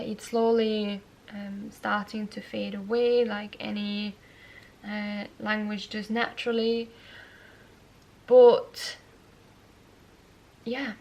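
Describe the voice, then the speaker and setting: Slow-talking woman with Yorkshire-tinged accent. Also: a little high. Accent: Yorkshire-tinged English picked up as an Austrian speaker. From Austria. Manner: slow, thoughtful, with fillers and soft laughs. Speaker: knitting vlogger. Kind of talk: casual Q&A vlog.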